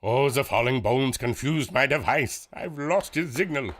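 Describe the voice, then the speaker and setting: A man playing an earnest, excitable gadget inventor, precise and theatrical, in an old-time radio adventure. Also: medium pitch, measured pace. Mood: excited. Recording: very noisy, with clicks.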